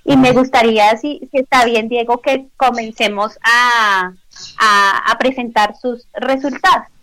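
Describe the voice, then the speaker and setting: High-voiced woman teacher, dry sound. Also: steady tone, unhurried, pushed and bright, dry recording, rough recording. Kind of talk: presenting on a video call panel. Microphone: video call.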